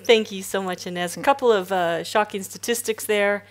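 A middle-aged woman moderating a stage panel, introducing speakers in a smooth, practiced way. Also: medium pitch, normal speed. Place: stage in a hall with audience.

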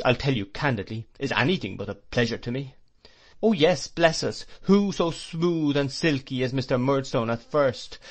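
A man's voice: mid-range voice, pitched above the performer's own voice. A man reading an audiobook, voicing a fierce and outspoken elderly aunt who scolds emphatically.

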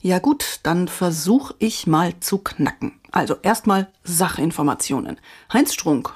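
A woman podcast host giving scripted, dramatic obituary narration with wry, theatrical phrasing and emphatic pauses. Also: on the low side, a little mellow, slightly rough.

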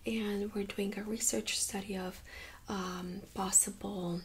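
A woman speaking in a soft, breathy near-whisper, gently giving instructions as a medical examiner in an ASMR role-play. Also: medium pitch, level tone, slow, slight background noise. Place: small room indoors.

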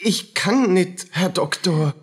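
An actor playing a harried, submissive poor soldier in a radio drama, agitated, halting and anxious. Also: male voice, high-pitched, animated, husky, full character voice. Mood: anxious, pleading.

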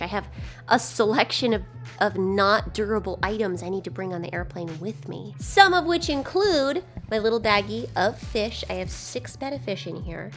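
A hoarse young woman chatting to a handheld camera in a casual, excitable vlog. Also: fairly high pitch. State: hoarse from shouting. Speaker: vlogger. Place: hotel room, then airport. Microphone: handheld camera at arm's length.

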